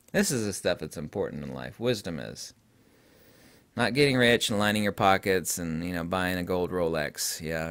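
Middle-aged guy ranting about books at his desk, lowish allergy-stuffed voice, bouncy pitch, opinionated. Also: gravelly. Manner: emphatic and opinionated, trails off with fillers. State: voice deepened by allergies. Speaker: book reviewer and channel host. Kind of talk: casual book-recommendation monologue. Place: indoor home studio desk.

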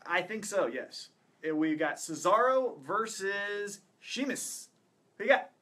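Guy in his 30s, bright punchy voice kinda high, casual podcast co-host chatting picks. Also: sing-song, takes it slowly. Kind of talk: casual wrestling predictions chat. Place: small indoor studio with acoustic foam panels.

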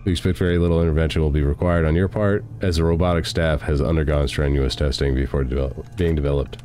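A man's voice: a bass voice, fairly even intonation, quick pace, warm tone, a little hiss or hum. A young man with a stuffy nose from a cold, chatting casually as he plays a game and reads its text aloud at a desk mic at home. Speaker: gaming streamer. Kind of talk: casual let's-play commentary.

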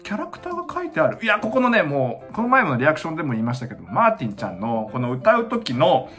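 A young adult man giving casual reaction commentary, excitable and often exclaiming in awe, recorded indoors in a small room. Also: a little high, fast talker, soft background music. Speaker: dance reaction channel host.

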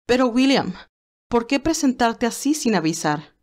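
A woman's voice: a little high, lively intonation, a little slow, darkish tone, voice pushed up. Woman voicing a stern, anguished old matriarch. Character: anguished, stern elderly matriarch great-aunt.

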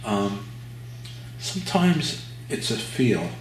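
An older man, giving a relaxed painting demonstration at his easel and thinking aloud with fillers and restarts. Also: medium pitch, slow pace. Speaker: painting instructor. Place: art studio at an easel, indoors. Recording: very echoey room, mic far off, audible noise.

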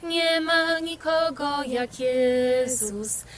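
A woman sings a children's worship song in a high voice. The delivery is very slow, with faint music behind her. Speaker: singer.

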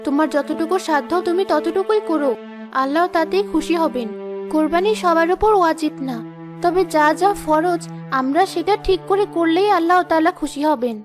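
A woman acts a pious, sensible cartoon mother with a high voice. She talks in a measured, admonishing way, firm but patient. Music is clearly heard behind her. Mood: consoling. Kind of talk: cartoon voice acting, moral story.

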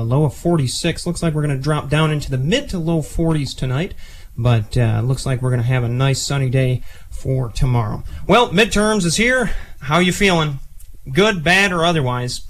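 A man's voice: fairly high pitch, slight background noise. A young man presenting as a campus radio DJ between songs in a casual, chatty way. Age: young adult. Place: small campus radio studio, indoors. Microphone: close studio microphone, headset monitors.

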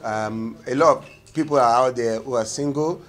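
A man in his thirties with a Nigerian accent, explaining in an interview and pausing to search for words. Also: medium pitch. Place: indoor room.

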